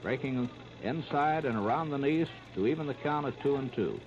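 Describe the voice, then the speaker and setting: A man's voice: mid-range voice, normal speed. An American man calling baseball play-by-play in 1930s radio style, in clipped running description. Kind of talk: 1930s radio sports commentary. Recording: muffled, very noisy 1939 radio disc.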